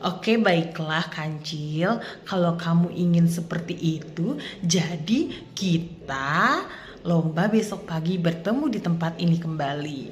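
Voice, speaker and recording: A woman voicing a calm, humble turtle in a children's fable, speaking in a measured, earnest way. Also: lowish voice, muffled recording, slight background noise. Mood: calm, agreeable. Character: calm, humble, steady turtle answering a boastful rival.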